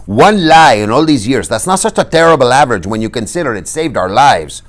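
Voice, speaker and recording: A man voicing a quick-witted swordsman and loyal friend in a storybook, speaking with urgent emphasis. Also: mid-range voice, big pitch swings, pitched above the performer's own voice, close to an everyday voice. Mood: apologetic.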